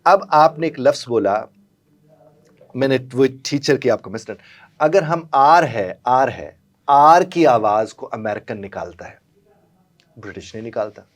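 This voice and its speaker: A middle-aged man, a guest explaining communication and in a formal, courteous, measured way. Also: mid-range voice, animated. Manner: formal and courteous, using polite honorifics. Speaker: guest, language and communication trainer.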